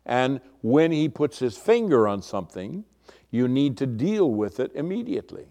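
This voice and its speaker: A male pastor with a booming, medium-pitched voice teaches scripture. He speaks in a deliberate, instructive way, leaning on key words and repeating phrases for emphasis. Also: big pitch swings, dark tone. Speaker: pastor teaching a Bible study. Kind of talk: expository sermon.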